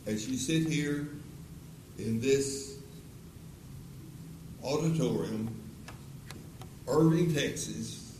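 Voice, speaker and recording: Preacher in his eighties, slow and earnest altar call, heard from far off in an echoey hall with noise. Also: medium pitch, darkish tone. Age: 80s. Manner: slow, earnest, repeated pleading questions. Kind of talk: closing sermon with altar call. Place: church auditorium. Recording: echoey auditorium from a distance with noise.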